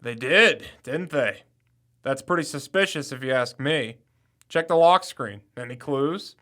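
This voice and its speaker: An actor playing a brash, suspicious young amateur detective in an audio drama, with pointed, accusatory questioning. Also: male voice, mid-range voice, lively intonation, a little slow, bright, forceful tone. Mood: suspicious, determined. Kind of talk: scripted audio drama acting.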